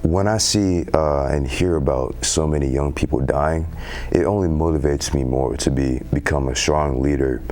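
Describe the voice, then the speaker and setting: Brief: teenage male interview guest, booming deep low voice, sincere and steady. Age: teens. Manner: earnest, measured, with pauses and fillers. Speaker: student guest. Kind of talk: interview answers. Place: local TV studio.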